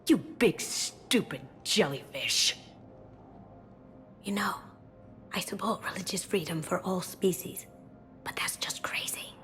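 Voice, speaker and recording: An actress playing a spirited, sassy young thief in a video game, with a playful manner. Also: female voice, lowish voice, slow, pauses often, a bit bright, in a whisper, soft background music. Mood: agitated. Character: cheeky, spirited young female master thief.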